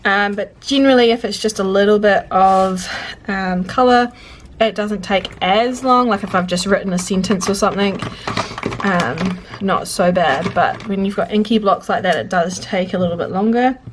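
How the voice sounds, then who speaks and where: A woman's voice: mid-range voice, moderate pace. A woman with a mid-range voice who sounds a little stuffy and congested talks casually while she journals. She rambles, breaks off mid-thought and corrects herself. Faint hiss and small clicks sit behind her voice. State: congested, sniffly. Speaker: journaling vlogger. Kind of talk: chatty real-time journaling commentary. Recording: faint clicking and background noise.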